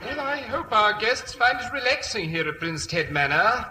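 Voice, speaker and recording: An actor playing a suave, scheming earl in an old radio comedy, with affected upper-class phrasing. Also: male voice, sits high, big pitch swings, moderate pace, sharp tone, full character voice. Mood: gracious. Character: suave British fortune-hunting earl, cheerful schemer. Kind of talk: 1940s-1950s radio comedy acting. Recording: old radio broadcast with background hiss.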